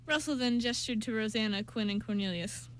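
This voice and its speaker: A woman narrates an amateur radio play from a script. Her voice is fairly high, with the odd stumble in the reading, and a low hum and light noise sit behind her. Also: fairly quick.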